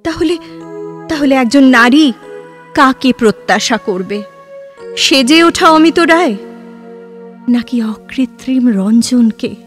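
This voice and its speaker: A woman playing a girlish, searching, idealistic young woman in love in a literary audio drama, with halting, intense delivery. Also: sits high, lively intonation, soft background music. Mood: searching, bewildered.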